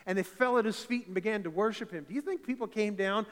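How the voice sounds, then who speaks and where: A man from eastern Canada with a big voice, preaching a fervent sermon in a church and building to loud, emphatic peaks. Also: high-pitched, quick pace. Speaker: pastor. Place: church sanctuary. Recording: reverberant church hall.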